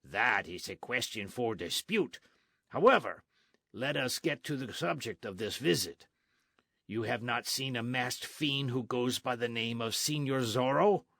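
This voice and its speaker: A man voicing a gruff, blustering army sergeant who makes loud demands. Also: mid-range voice, lively intonation, a little slow, no room sound, clean studio sound, heavily acted.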